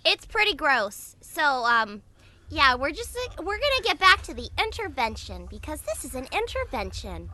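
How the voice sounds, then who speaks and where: A woman's voice: high-pitched, very animated, moderate pace, bright, forceful tone, full character voice. A woman voicing a flustered, easily exasperated young female pony in a comedy cartoon dub. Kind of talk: improvised comedy fan dub.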